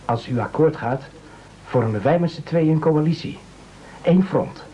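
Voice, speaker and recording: An actor playing an eager, evasive and excitable castaway gentleman in an absurdist radio play, speaking politely. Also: a little high, a little slow, lightly acted. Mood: conspiring, polite. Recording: dull lo-fi old radio recording.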